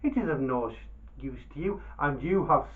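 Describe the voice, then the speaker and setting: A man voicing a greedy, blustering camel driver who feigns concern, with emphatic, drawn-out pleading in a storybook telling. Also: fairly high pitch, lively intonation, narrow, tinny audio, natural voice. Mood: wheedling, insistent.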